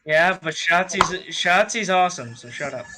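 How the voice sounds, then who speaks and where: A man's voice: high voice, animated, moderate pace, crowd noise. A man co-hosting a podcast with blunt, combative, profane banter. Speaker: podcast co-host.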